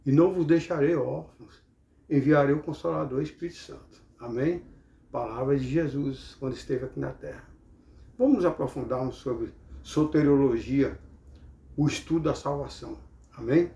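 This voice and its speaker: A man's voice: mid-range voice, moderate pace, gravelly, dark tone, echoey room, highs cut off. A man from Bahia with a Bahian Brazilian accent, teaching the Bible like a preacher, in a didactic way with rhetorical check-ins.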